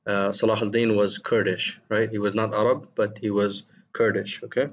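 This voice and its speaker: A male teacher giving a recorded vocabulary lesson to his students, gentle and encouraging, pausing often with ums and repeated words. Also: fairly low pitch, level tone, highs cut off, a little hiss or hum.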